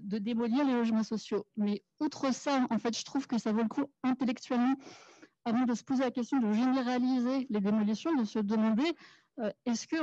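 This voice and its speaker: A woman's voice: a little high, mellow, no echo. A woman from the Paris suburbs making an earnest, articulate argument from the audience at a debate. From Paris suburbs, France. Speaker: urban planner and activist in the audience. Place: small crowded café room. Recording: dull, lo-fi event recording.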